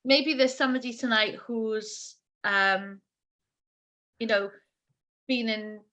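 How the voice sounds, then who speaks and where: A woman's voice: a little high, fairly even intonation, takes it slowly, bright, forceful tone. A woman hosting an interview show, chatty and encouraging toward her guest. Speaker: podcast host.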